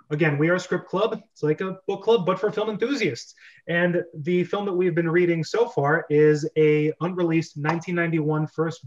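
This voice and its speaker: A man's voice: on the high side, highs cut off. A man hosting a script-reading club over a video call, talking casually.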